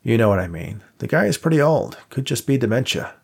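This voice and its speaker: A man's voice: medium pitch, big pitch swings, brisk, vocal fry, a little mellow, breathy edge, heavily acted. A man voicing a skeptical young male assistant who grumbles with dry sarcasm.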